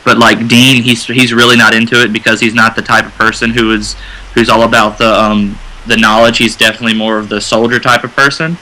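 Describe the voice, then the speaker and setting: A man chats casually as a panelist in a fan discussion. His voice sits at a middle pitch with a bright, keen edge. He works his thoughts out aloud, often restarting a phrase. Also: little pitch movement, a little fast, rough recording. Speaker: radio show panelist.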